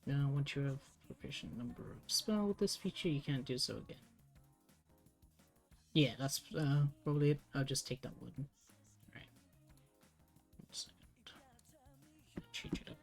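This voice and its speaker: A woman's voice: a bass voice, a little slow, vocal fry, pauses often, faint music behind. A British woman streaming as she writes tabletop game rules, thinking aloud in a hesitant, self-mocking way while she works out the wording. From United Kingdom.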